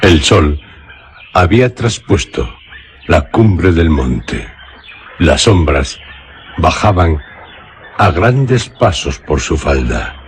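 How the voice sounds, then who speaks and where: A man narrating a literary radio drama in a measured, solemn way. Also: very deep voice, sing-song, slight background noise.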